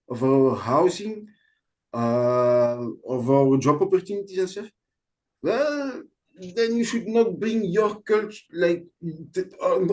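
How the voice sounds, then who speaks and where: A man from Belgium, a guest in a casual podcast talk, speaking earnestly with many pauses and restarts in a language that is not his first. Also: fairly high pitch, darkish tone. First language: not English. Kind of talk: casual conversation.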